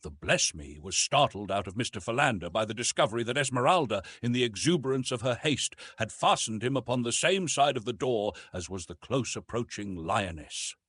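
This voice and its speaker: A man narrating a classic adventure novel in an expressive, theatrical storytelling manner. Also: mid-range voice, brisk, hardly any pauses, husky, no room sound. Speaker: audiobook narrator.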